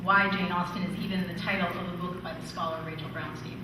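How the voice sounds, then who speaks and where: A woman's voice: on the low side, steady tone, fast talker. A middle-aged woman speaking as an author about her subject to the public. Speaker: author giving a book talk. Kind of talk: public talk and interview. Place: large library meeting hall. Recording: roomy hall, slightly distant, audience noise. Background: audience chatter.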